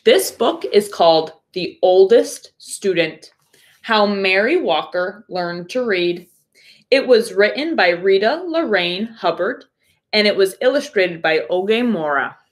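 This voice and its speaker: A woman, a primary school teacher, speaking deliberately and instructively to young pupils as in a read-aloud storytime. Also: medium pitch, expressive. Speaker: third grade teacher.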